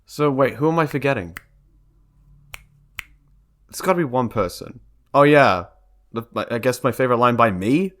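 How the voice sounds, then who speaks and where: A man's voice: mid-range voice, animated, normal speed, long pauses, a bit bright. A young man giving casual, rambling behind-the-scenes commentary for a video, prone to giggling. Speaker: video creator and fan dub member.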